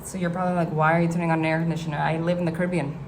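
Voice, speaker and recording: Chatty northeastern American woman, lowish voice. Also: level tone, rapid-fire, very noisy. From New Jersey / New York City area. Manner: chatty, rambling, frequent "like" and restarts. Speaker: tarot reader. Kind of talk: casual tarot reading to camera. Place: hot room at home.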